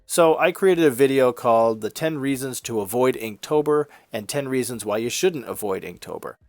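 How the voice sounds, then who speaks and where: A man hosting an art vlog in a casual, conversational and explanatory way, his voice congested and a little raspy from a head cold. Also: medium pitch, expressive, normal speed, darkish tone, no echo, clean studio sound, soft background music. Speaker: YouTube art channel host.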